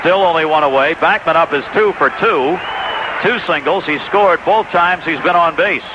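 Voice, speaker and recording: A man's voice: a little high, moderate pace, crowd noise. An American man giving live play-by-play baseball commentary as a radio announcer. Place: ballpark broadcast booth. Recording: dull, noisy 1980s radio broadcast.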